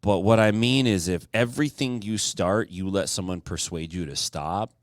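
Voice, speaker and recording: A man's voice: sits low, normal speed, no room sound, studio quality. A middle-aged man co-hosting a podcast in casual banter, joking and putting on voices when he quotes people. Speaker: podcast co-host.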